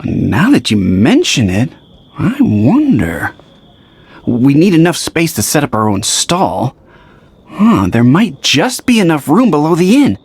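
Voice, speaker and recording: A woman voicing a friendly, wistful innkeeper in a video game, conversational, musing and gently wry. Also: low-pitched, wildly swooping pitch, measured pace, breathy edge, heavily acted. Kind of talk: video game character acting.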